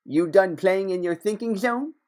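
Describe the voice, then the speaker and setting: A man's voice: sits high, moderate pace, darkish tone, faint music behind, natural voice. Someone playing a wisecracking male detective sidekick. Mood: wry, matter-of-fact. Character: laid-back, wisecracking male detective partner. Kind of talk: noir audio drama acting.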